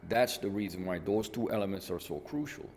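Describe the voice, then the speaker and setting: Low-voiced Italian-born man in a hall. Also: fairly quick, a little mellow, a little hiss or hum. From northern Italy. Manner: reflective, with frequent "you know" asides. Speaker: guest artist. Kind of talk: relaxed interview answers. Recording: reverberant hall with faint ambience.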